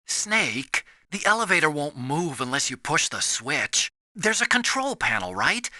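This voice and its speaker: An actor playing an earnest, anxious, soft-hearted young engineer in a video game, with a boyish, pleading way of talking. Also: male voice, on the high side, no room sound, exaggerated voice.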